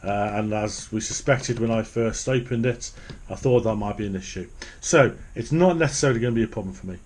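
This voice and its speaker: A man's voice: fairly low pitch, brisk, a little mellow. A middle-aged man giving a casual, unscripted hands-on commentary, partly muttering to himself as he works. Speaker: hobbyist presenter of a radio repair video. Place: small home office, indoors.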